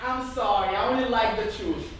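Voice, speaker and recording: A man's voice: high-pitched, normal speed, heavy background noise. A young man in a college improv show, rejecting contestants with playful jokes. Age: young adult. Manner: joking, playful comebacks. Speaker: improv player in a dating game. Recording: echoey hall, distant mic, loud crowd.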